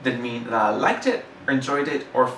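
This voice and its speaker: A man's voice: medium pitch, animated, moderate pace, a bit bright. A young man whose first language is French, chatting casually about a book, rambling and correcting himself as he goes. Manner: rambling, self-correcting, enthusiastic. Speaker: book reviewer. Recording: roomy, slightly distant mic, faint hiss.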